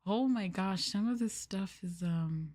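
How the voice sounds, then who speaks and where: A soft-spoken woman streamer chatting casually on an ASMR livestream in an unhurried, hesitant way, close to the microphone. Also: medium pitch. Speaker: VTuber streamer, host.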